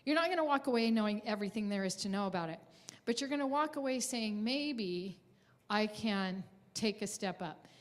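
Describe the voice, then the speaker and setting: A woman's voice: medium pitch, expressive, moderate pace. A woman presenting a conference workshop, talking in an encouraging, motivational way to the room.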